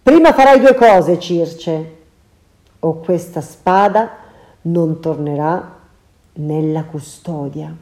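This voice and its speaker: A woman's voice: deep voice, slow, mellow. A woman voicing a stern male hero in a storybook reading, curt and commanding. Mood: stern threatening. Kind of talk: storybook character voice.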